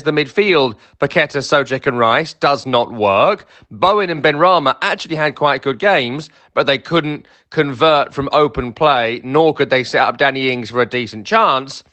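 A man's voice: medium pitch, normal speed, few pauses, bright, forceful tone, highs cut off. A man giving an emphatic, exasperated football monologue in short punchy sentences, like a broadcaster who is also a fan.

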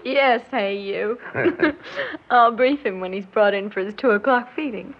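A woman's voice: a little high, unhurried. An actress in an old radio drama playing a happy, teasing young daughter who has just become a mother. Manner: cheerful, teasing. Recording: muffled old radio recording.

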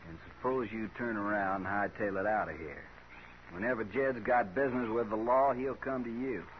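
An actor playing a hostile, curt range boss in a radio Western, with a rural American accent. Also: male voice, fairly low pitch, normal speed, lightly acted. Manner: curt, threatening. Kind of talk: 1950s radio Western acting. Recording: muffled, noisy 1950s radio recording.

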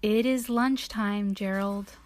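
A woman voicing a calm, matter-of-fact male pig in a read-aloud storybook, steady and unbothered. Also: medium pitch, slow, a little hiss or hum, natural voice.

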